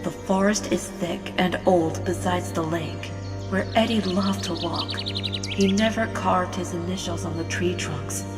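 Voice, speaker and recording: A woman's voice: on the low side, fairly even intonation, unhurried. A woman acting as hostess and narrator of a scripted story, telling it in a dramatic storytelling style. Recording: long reverb, music behind.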